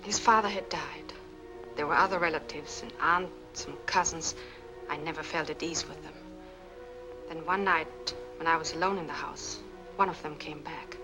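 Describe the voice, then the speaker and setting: An actress playing a troubled, guarded young immigrant widow in a dramatic, earnest 1940s film style. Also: female voice, sits low, moderate pace, close to an everyday voice. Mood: haunted, fearful. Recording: old film soundtrack, music behind.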